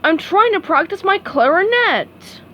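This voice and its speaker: A woman voicing a grumpy, snarling male squid neighbor in a cartoon storybook, speaking slowly. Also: very high voice, very animated, fairly bright tone, slight background noise, pitched above the performer's own voice, full character voice. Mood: irritated. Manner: snarling, slow irritation.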